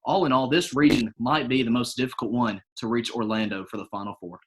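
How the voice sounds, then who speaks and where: A man works as a correspondent on a mock sports show. He reads out seedings in a mock-serious analyst's style. His voice sits at an ordinary male pitch. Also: steady tone, brisk, rough recording.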